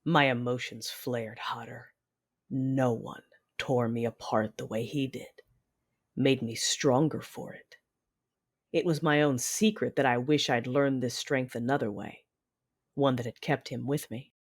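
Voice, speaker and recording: A woman's voice: a bass voice, fairly even intonation, measured pace, full character voice. A woman playing a fierce, conflicted Sith woman who narrates a sci-fi audio drama with controlled, brooding drama. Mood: simmering passion.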